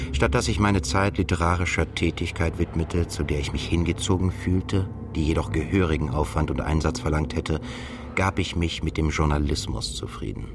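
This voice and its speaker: A man acting a brooding, introspective journalist who narrates his own story in a reflective, measured way in a literary radio drama. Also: very deep voice. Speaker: narrator and lead character. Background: music.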